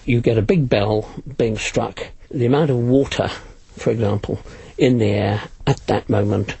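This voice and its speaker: A man with a gravelly, husky voice, explaining things as an interviewed expert. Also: on the low side, moderate pace, mellow, no echo, rough recording. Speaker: signals expert and cryptographer being interviewed.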